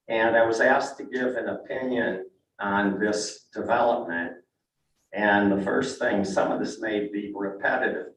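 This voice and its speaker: A man's voice: on the low side, a little hiss or hum. An older man, a real estate broker, giving plain, conversational testimony at a zoning meeting. He is losing his voice. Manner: plain, conversational, with frequent "uh" and restarts. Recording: echoey council chamber, mic at a distance.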